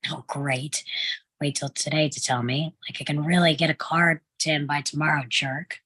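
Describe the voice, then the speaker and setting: A low, raspy young woman's voice in a very dry recording, acted by a woman at a table read. She plays a blunt, sarcastic sitcom sister, exasperated but caring. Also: steady tone, moderate pace, fairly bright tone, dry recording, poor audio. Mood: tired, sarcastic.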